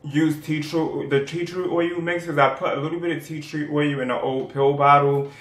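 A man's voice: fairly high pitch, flat delivery, moderate pace, a bit bright, echoey room. A young man with a New York accent, rambling through a casual skincare how-to with lots of fillers. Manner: rambling, chatty, many fillers and restarts. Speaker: skincare vlogger.

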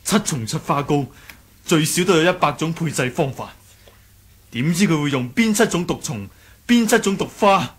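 Earnest, husky young sect leader. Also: male voice, on the high side, expressive, moderate pace, fairly bright tone. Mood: alarmed, remorseful. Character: earnest young male martial arts sect leader, anguished and hot-headed. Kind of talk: Cantonese radio drama acting.

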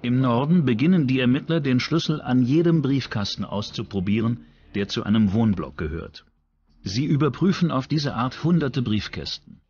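A man's voice: mid-range voice, lively intonation, normal speed, a little mellow, breathy edge, dull audio. A man reading scripted true-crime documentary narration as the narrator. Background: background music.

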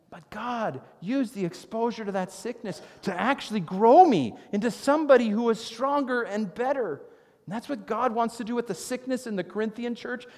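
A man in his thirties preaching a sermon from a church stage, telling stories with animated gestures. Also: extremely high pitch. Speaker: pastor. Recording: long reverb of a church hall.

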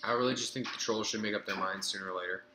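A man's voice: fairly low pitch, steady tone, racing. A man in his early twenties chatting casually on a live stream, rambling between topics and answering viewer comments. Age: 20s. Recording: echoey room with background noise.

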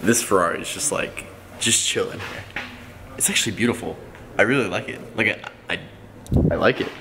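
A young man vlogging casually in a car shop, talking excitedly and rambling with restarts. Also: fairly low pitch, fairly quick, creaky, a little hiss or hum. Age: young adult. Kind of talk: casual vlog chat. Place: car shop garage and parking lot at night.

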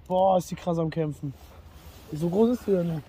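A man's voice: on the high side, moderate pace, warm tone, a little hiss or hum. A man hosting a fishing vlog, chatting casually and colloquially to his viewers as friends.

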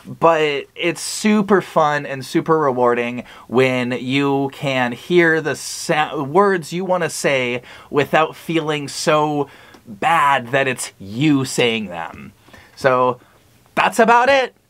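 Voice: medium pitch, expressive, takes it slowly, a bit bright. A host giving a casual music tutorial with a playful, upbeat, informal way of talking. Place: small cluttered home music studio room.

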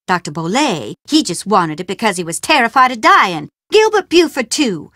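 A woman's voice: mid-range voice, sing-song, normal speed, bright, forceful tone, dry recording, clean studio sound. A woman voicing a scheming, mystical housekeeper in a video game, speaking in a drawling, theatrical, sly way. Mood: gloating, scheming.

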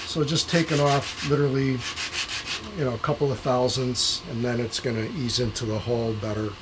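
A man's voice: medium pitch, flat delivery, brisk. An older man casually explaining hands-on work in a plain-spoken, practical way. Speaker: woodworking demonstrator. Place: small home workshop shed with open door. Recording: clear background noise, workshop hum.

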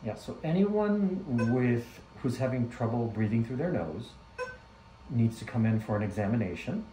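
A man working as an ear, nose and throat doctor, explaining a procedure to a patient in a measured, instructive way. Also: medium pitch, lively intonation. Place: small clinic exam room, indoors. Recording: roomy clinic room with background noise.

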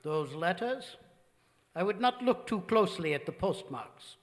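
A solemn, devoted male servant speaks with measured formality. The voice sits high for a man and echoes in a large theater, like an old radio drama. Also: lively intonation. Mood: guarded. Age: older. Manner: stiff, deferential, measured. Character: grave, formal, devoted manservant guarding his mistress. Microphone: vintage-style stand microphone. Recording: live theater stage, long hall reverb.

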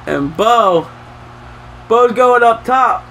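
A young man playing a video game with a friend, calling out the action with excitable exclamations. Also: very high-pitched, very slow, a little hiss or hum. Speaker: co-player. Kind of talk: casual play-by-play banter.

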